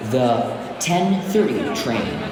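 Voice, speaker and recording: In a huge reverberant hall with crowd noise, a man delivers formal station announcements. He speaks slowly and enunciates each word with care. The delivery is scripted, like a public address. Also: medium pitch, sing-song. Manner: formal, deliberate, carefully enunciated. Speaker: English teacher voicing train station announcements. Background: busy station crowd ambience.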